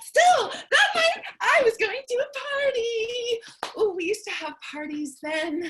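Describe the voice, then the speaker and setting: A woman playing an excitable, tender, kooky last survivor of the apocalypse in a staged reading, effusive and dramatic. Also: extremely high pitch, exaggerated voice, low-quality recording. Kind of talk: theatrical staged reading, monologue and dialogue.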